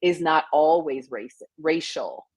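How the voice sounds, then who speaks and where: A low-voiced woman from the United States talks as a guest in a casual interview. She speaks reflectively and keeps her talk loose, full of easy fillers. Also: slow pace, darkish tone, slightly rough.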